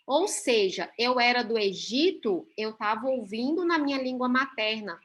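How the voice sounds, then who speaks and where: A woman's voice: on the high side, lively intonation, normal speed, fairly bright tone. A Brazilian woman teaching a Bible class over a video call, explaining step by step and often checking in with her listeners. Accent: Brazilian Portuguese.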